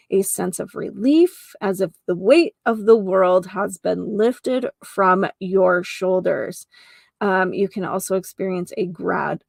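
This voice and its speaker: A woman's voice: medium pitch, creaky, no room sound, studio quality. A woman hosting a solo healing podcast, speaking to listeners in an earnest, effusive manner and stressing key words. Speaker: host of a holistic healing podcast; geomancer and energy healer.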